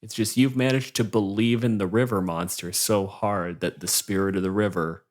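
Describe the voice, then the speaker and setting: Male podcast co-host, lowish voice, conversational, dryly humorous. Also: fairly even intonation, moderate pace. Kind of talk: casual podcast chat.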